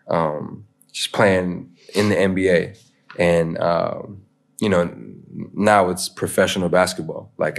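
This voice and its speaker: A young American man, a pro athlete, talking loosely in a podcast interview, rambling and dropping "man" and "you know" often. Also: low voice. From United States. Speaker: interview guest, pro basketball player. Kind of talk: casual podcast chat.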